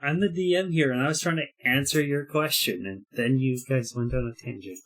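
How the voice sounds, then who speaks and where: A man running a tabletop roleplaying game as game master, giving casual rulings and narration in a halting way. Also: medium pitch, fairly bright tone, faint music behind.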